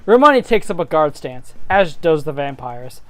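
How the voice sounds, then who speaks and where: A woman's voice: sits low, sing-song, moderate pace, no echo. A woman narrating a fantasy story informally, as an amateur reader would, over faint music. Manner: informal, breaking into giggly asides.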